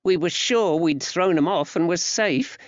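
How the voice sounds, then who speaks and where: A man's voice: a little high, a little slow, no echo, dull audio, pitched above the performer's own voice, close to an everyday voice. A man voicing a frightened, grieving working-class boy who speaks plaintively in an old-fashioned rustic dialect. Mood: upset, fearful.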